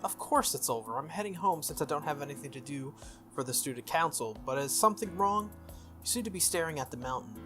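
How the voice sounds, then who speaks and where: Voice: medium pitch, lively intonation, fairly quick, music in the background, lightly acted. A game player voicing an earnest, stern teenage boy who is student council president, formal and scolding. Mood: stern, matter-of-fact.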